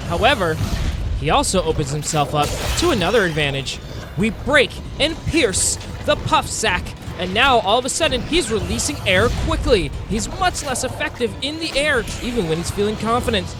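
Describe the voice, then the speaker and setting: A man narrating video game play in a theatrical, intense documentary style with deliberate phrasing, speaking as the hunter himself. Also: high-pitched, very animated, over music. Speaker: gaming video narrator playing a monster hunter.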